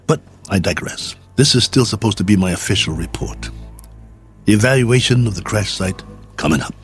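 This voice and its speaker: An actor playing a lonely, wry stranded astronaut colonel, musing aloud in a radio drama monologue. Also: male voice, fairly low pitch, moderate pace, close to an everyday voice. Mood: musing, resigned. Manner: reflective, wry, conversational musing. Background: music.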